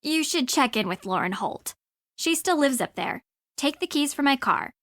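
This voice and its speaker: A woman's voice: high voice, expressive, moderate pace, fairly bright tone, no echo, clean studio sound. An American woman acting as a blunt, sardonic young frenemy in a video game, snarky and emphatic. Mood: grudgingly caring.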